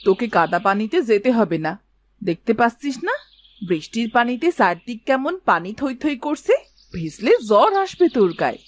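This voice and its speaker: A woman playing a harsh village aunt in an animated moral story, speaking in a sharp, bossy, accusing manner. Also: fairly high pitch, moderate pace.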